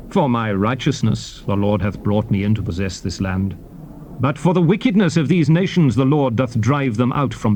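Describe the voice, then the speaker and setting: An actor playing a solemn prophet exhorting his people, grave and declamatory with measured phrasing, in a dramatized scripture recitation. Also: medium pitch, darkish tone, close to an everyday voice. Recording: audible hiss on old cassette recording.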